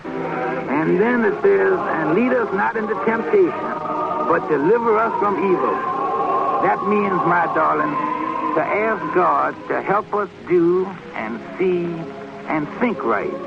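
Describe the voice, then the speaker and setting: A man's voice: sits high, lively intonation, gravelly, heavily acted. An actor playing a tender, devout father who patiently explains a prayer to his child at bedtime in an old radio drama. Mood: tender, reverent. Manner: gentle, slow, patient explaining. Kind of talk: 1940s-50s radio acting. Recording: old muffled radio recording, music behind. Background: choir music from a radio.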